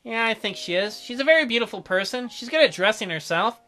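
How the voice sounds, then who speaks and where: A man voicing a shy, gentle, awkward doctor in a visual novel, with a hesitant and mild manner. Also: very high-pitched, lively intonation, fast, soft background music, exaggerated voice. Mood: wistful. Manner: hesitant, mild, softly apologetic.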